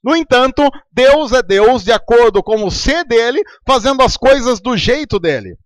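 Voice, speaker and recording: Brazilian male pastor, high animated voice, dry close sound, chatty sermon delivery. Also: normal speed, dry recording. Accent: Brazilian Portuguese. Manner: conversational preaching with anecdotes.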